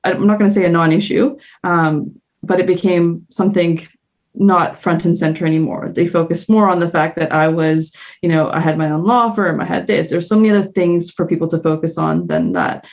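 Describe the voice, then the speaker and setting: A woman's voice: on the low side, a little fast, darkish tone. A woman with a Canadian accent, speaking as a guest on a panel. She talks in an earnest, reflective way and thinks aloud as she goes. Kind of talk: candid panel discussion.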